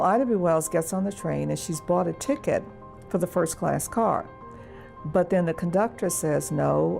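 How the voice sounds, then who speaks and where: Deep, very creaky-voiced woman explaining history. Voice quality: very creaky, mellow. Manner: storytelling, explanatory. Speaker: expert interviewee. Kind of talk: explanatory interview commentary.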